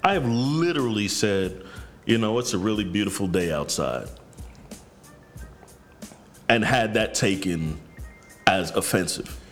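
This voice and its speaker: A man's voice: expressive, measured pace, long pauses, soft background music. A man, a podcast guest who talks directly and bluntly and swears freely. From New York. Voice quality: deep voice. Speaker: guest, counselor. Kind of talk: candid podcast conversation.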